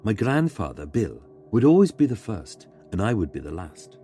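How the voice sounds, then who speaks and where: Man, scripted spooky narration, dark soft-edged tone, animated melody, suspenseful pauses. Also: on the low side, soft background music. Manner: dramatic, measured storytelling with pauses for suspense. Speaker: guest narrator reading a submitted ghost story. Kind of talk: scripted narration of a true ghost story.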